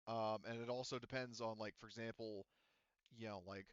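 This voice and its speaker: A man running a tabletop game as game master, explaining the situation off the cuff in a halting, rambling way and often qualifying himself. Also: on the low side, fairly even intonation, clean tone, no room sound, lo-fi sound.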